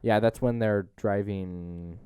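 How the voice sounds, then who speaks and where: A man hosting a casual podcast chat, animated and trying to keep his co-hosts on track. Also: on the low side, slow, a crowd in the background. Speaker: podcast host. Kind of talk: casual chat reviewing a film.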